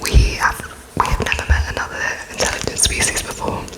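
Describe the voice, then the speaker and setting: A woman whispering an ASMR roleplay as an interviewer, in a breathy, halting and politely apologetic way, as if pausing to take notes. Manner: slow, halting, spaced-out phrases as if writing notes, polite and apologetic.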